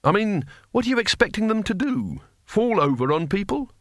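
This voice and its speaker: A man's voice: high voice, very animated, normal speed, no echo, studio quality, pitched above the performer's own voice. A man voicing a nervous, doubtful wizard in an audiobook story, hesitant and wryly sarcastic as he questions things. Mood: exasperated.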